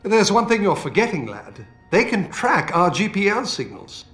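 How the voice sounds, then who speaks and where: An actor playing an older British scientist in a video game, feisty and fatherly, with colourful, expressive delivery. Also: sits high, moderate pace. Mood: conspiratorial. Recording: roomy game audio with music.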